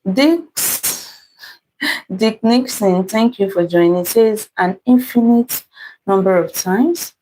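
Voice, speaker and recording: Slow-paced Nigerian woman hosting a live stream. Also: mid-range voice, warm tone, poor audio. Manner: unhurried, repeats greetings and thanks to viewers by name. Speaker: live-stream host teaching math puzzles. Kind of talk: interactive live-stream chat, reading out viewer comments.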